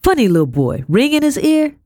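A bossy middle-aged housekeeper who barely stops for breath, as a woman reads her in a storybook. She talks high and loud with rough country grammar, and the recording is dry and close. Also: very animated, no echo. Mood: scolding. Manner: brisk, scolding, rustic grammar. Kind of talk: audiobook character voice.

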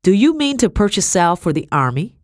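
A woman's voice: mid-range voice, sing-song, a little fast, a little mellow, no room sound, clean studio sound, pitched above the performer's own voice, close to an everyday voice. A woman voicing a haughty colonial mistress, cold and indignant, imperious and clipped in a storybook reading.

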